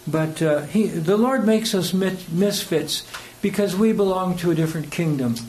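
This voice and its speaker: High-voiced American man preaching, hesitant. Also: moderate pace, darkish tone, low-quality recording. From United States. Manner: hesitant, rambling, chuckles between phrases. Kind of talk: conversational sermon with personal anecdotes. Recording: clear background noise.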